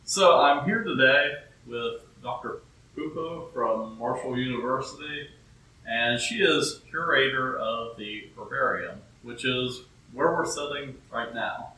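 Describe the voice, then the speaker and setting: A man with a mid-pitched voice hosts an informal video interview. He is a bit off the mic in a strongly echoing space. Faint music can be heard with his voice. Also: measured pace, pushed and bright, far from the mic. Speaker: host and interviewer.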